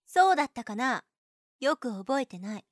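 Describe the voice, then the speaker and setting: A woman's voice: sits high, very animated, moderate pace, no echo, clean studio sound. An actress playing a young female nurse in an anime-style voice drama, blunt and boyish in phrasing, protective and possessively clingy. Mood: cool, evasive. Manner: blunt boyish phrasing, doting toward the patient. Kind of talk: Japanese anime-style situation voice drama acting.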